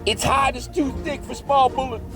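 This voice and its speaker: An actor playing an excitable, irascible backwoods monster hunter with a Southern US accent, folksy and bossy, in a comic audio drama. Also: high voice, normal speed, over music. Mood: exasperated.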